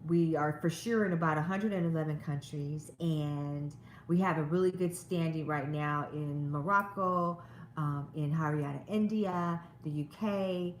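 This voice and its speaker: A woman hosting a casual live stream in a chatty, effusive way. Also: low-pitched. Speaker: show host. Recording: echoey room, faint noise.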